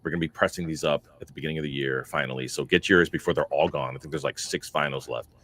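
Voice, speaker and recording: Very low-voiced blunt male guest. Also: steady tone, fairly bright tone. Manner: blunt, swears freely, quick asides. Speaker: guest musician and mixer. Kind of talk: casual livestream chat.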